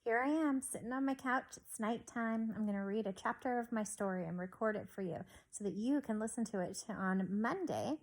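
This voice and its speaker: Female teacher for a children's chapter book read-aloud; animated pitch, expressive delivery aimed at kindergarteners. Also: low-quality recording. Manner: expressive, addressing young children. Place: on a couch at home.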